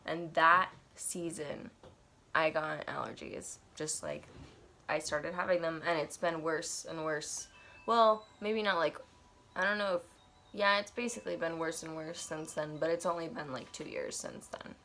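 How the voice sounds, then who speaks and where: A woman's voice: deep voice, fairly even intonation, a little slow, bright, forceful tone, slight background noise. A young woman in her twenties, congested from allergies, chatting casually while unboxing an oracle deck in her bedroom and thinking aloud with wandering asides. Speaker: tarot and oracle deck reviewer. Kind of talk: casual unboxing chat with read-aloud passages. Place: cluttered bedroom, indoors.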